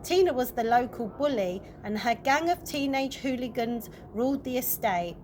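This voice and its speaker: A woman's voice: on the high side, lively intonation, normal speed, a little mellow, a little hiss or hum. A woman reading a chapter book aloud as bedtime story narration for children. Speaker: early years practitioner reading aloud.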